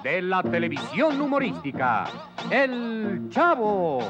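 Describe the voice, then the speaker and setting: A male announcer with a high, lively voice introduces a television show. He speaks slowly and emphatically, in a showy style. Loud theme music plays behind him. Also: dull audio. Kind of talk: television opening credits announcement.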